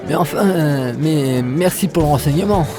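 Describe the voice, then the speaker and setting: A man's voice: a little high, moderate pace, natural voice. A man playing a polite, sheepish young hero in an audio comedy adventure, casual and hesitant. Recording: voice under prominent music.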